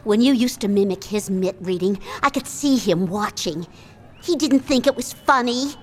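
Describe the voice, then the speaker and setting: A woman voicing an anxious, pleading circus wife in an audio drama, sometimes sinking to a hushed whisper. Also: mid-range voice, expressive, moderate pace, close to an everyday voice. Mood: worried.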